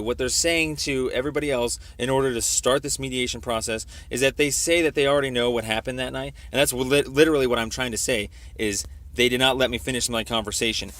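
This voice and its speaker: Insistent man with a bright, forceful voice. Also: mid-range voice. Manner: insistent, repetitive, talking over the other person. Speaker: complainant calling police internal affairs. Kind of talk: argumentative phone conversation.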